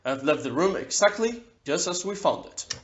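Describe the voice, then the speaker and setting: A man in an audio play acting a sober, matter-of-fact ship's captain with a deliberate, grave manner. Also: fairly high pitch, lively intonation, lo-fi sound, natural voice. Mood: grave, factual.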